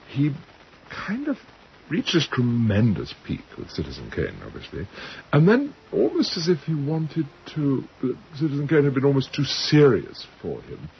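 A man giving reflective interview commentary in a halting, thoughtful way, searching for words. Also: mid-range voice. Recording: dull, slightly noisy interview recording.

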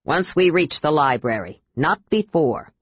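A woman reading aloud, voicing a stern male knight in a lowered, clipped voice. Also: animated, measured pace, husky, dry recording, highs cut off, full character voice.

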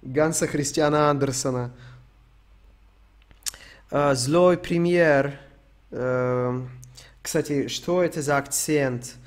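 A young man from Ukraine talks to his stream in a loose, joking way. His voice runs a little high, and he swears freely as he rambles through the questions. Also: slow pace, long pauses. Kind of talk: casual stream Q&A.

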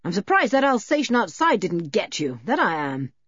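Casting: gruff, blustering rustic man for a storybook, played by a woman; husky, swooping delivery, defensive temper. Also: medium pitch, sing-song, moderate pace, no room sound, muffled recording. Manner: rural turns of phrase, repeats tags for emphasis. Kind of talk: audiobook character voice.

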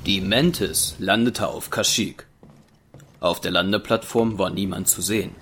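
A man's voice: sits low, fairly even intonation, measured pace, noisy background. A man narrating a scripted audio drama as storyteller.

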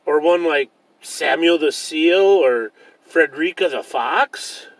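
A man's voice: a little high, slow, no room sound, far from the mic, voice pushed up, poor audio. A man voicing a curious, eager little female bear cub in a children's storybook.

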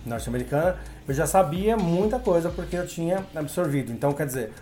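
A middle-aged man from Brazil, a guest on a podcast, telling his story in a relaxed way with many filler tags. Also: fairly high pitch, fairly quick, faint music behind. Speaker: guest, English teacher. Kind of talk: casual podcast interview.

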